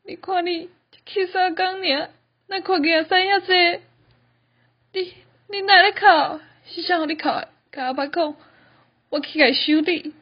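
Girl playing a very high-pitched blustery doting father. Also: fairly even intonation, moderate pace. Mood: excited, protective. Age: teens. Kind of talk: amateur radio drama acting. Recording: dull lo-fi student recording.

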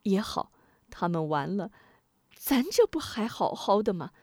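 A woman voicing a frantic official's wife in a told story, stammering and pleading in a shrill, anxious way. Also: sing-song, unhurried, darkish tone, husky, no room sound, studio quality. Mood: coaxing.

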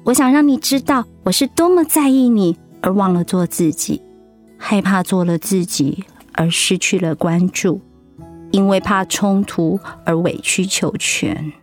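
An actress plays a sincere middle-aged woman, a loving wife and loyal friend in a radio play. Her mid-range voice is soft and measured. Faint music sits under the speech. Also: mid-range voice, close to an everyday voice. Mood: tender, earnest. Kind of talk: radio drama acting. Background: background music.

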